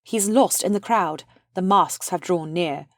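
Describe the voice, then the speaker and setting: A woman narrates a play, reading its stage directions in a fairly low voice. The recording is dry and close, with no room around her. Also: measured pace, dry recording.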